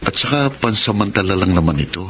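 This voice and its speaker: An actor playing a hopeful young fiance, gentle and reassuring, in a Filipino radio drama. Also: male voice, sits low, lively intonation, quick pace, a little hiss or hum. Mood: comforting, hopeful. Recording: loud music behind the voice.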